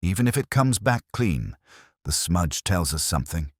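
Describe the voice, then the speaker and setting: A man voicing a calm, steady male police inspector who asks probing questions quietly. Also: sits low, moderate pace, slightly rough, no room sound, studio quality, heavily acted.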